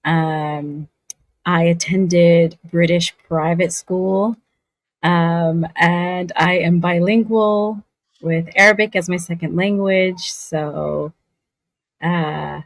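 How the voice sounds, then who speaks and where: A woman from Southern California, a voice actor, chatting as a guest over a remote video call from home, relaxed and quick to laugh. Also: on the low side, dry recording. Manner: relaxed, unhurried, laughs easily. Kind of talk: casual interview chat. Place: home in Scotland.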